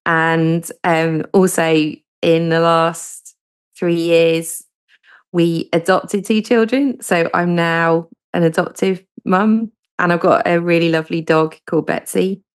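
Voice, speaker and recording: A woman's voice: fairly low pitch, fairly even intonation, slow, smooth. A woman from southern England with a southern English accent, a guest author answering interview questions in a chatty way with many hesitations.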